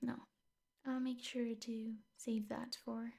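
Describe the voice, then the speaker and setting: A possessive yandere teacher girlfriend, acted by a woman in an intimate ASMR roleplay. Her voice is fairly high yet very soft and dark-toned, full of breath and often dropping to a whisper. She coaxes sweetly, with menace under the doting. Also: flat delivery, slow, creaky, exaggerated voice. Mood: softly menacing.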